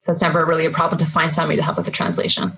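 A woman in her thirties chats casually as an interview guest, a nurse with a fairly low voice. She talks in a relaxed way, dropping in lots of "like" and quick asides. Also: low-quality recording. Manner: chatty, quick asides with fillers like "like".

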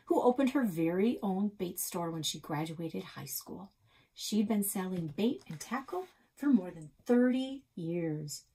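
A woman's voice: on the low side, vocal fry, a little mellow, slightly rough, poor audio. A middle-aged woman reading a children's novel aloud as a storyteller, recorded in a small office at about arm's length.

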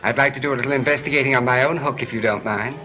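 A man's voice: mid-range voice, rapid-fire, pushed and bright, soft background music, close to an everyday voice, rough recording. Man as a breezy joking gambler, easygoing voice, 1930s film.

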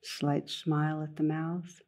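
A woman guides a meditation in a low, mellow voice. Her delivery is very slow and gentle, with long pauses between phrases. The melody stays flat and even, like a teacher leading a calm practice.

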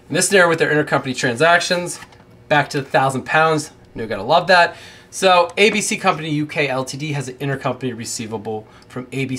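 Bright, forceful American man teaching. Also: medium pitch, slight background noise. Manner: informal, self-deprecating asides. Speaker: accounting instructor. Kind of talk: explanatory tutorial, conversational. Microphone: close microphone on a boom arm.

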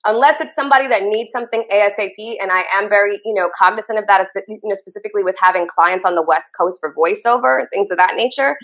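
A woman's voice: medium pitch, fast, hardly any pauses. Chatty woman host from Trinidad. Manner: enthusiastic, chatty. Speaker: interview host. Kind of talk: video call interview. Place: home office. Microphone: video call.

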